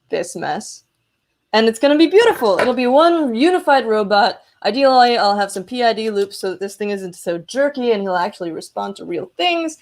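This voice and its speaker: A woman hosts a casual maker livestream with plenty of enthusiasm. Her voice sits at an ordinary pitch, and she talks informally, wandering from point to point as she demonstrates electronics. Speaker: maker hosting a live electronics show.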